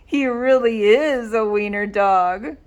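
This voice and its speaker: A woman's voice: on the high side, slow pace. A woman voicing a pack of cowardly, teasing dogs from a children's storybook with exaggerated cries. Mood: mocking. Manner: exaggerated jeering and wailing. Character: pack of teasing, cowardly neighborhood dogs, mocking then wailing.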